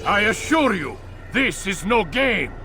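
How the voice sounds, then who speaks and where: An actor playing a proud older master of assassins in a video game, speaking with measured, theatrical authority. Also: male voice, high-pitched, sing-song, bright, forceful tone, faint music behind, full character voice. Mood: defiant, proud. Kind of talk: video game cutscene acting.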